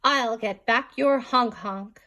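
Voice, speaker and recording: Storybook goblin played by a woman: small, plucky, earnest, high voice, unhurried. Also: pushed and bright, heavily acted. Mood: determined. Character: small goblin, loyal and plucky, self-crowned king.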